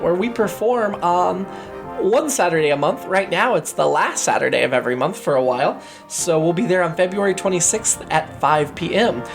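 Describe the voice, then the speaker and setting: A man with an American accent and a high-set voice hosts a podcast. His delivery is lively, with pitch that moves around a lot, and music plays clearly behind him. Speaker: podcast host and interviewer. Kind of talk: podcast announcements and chatty interview.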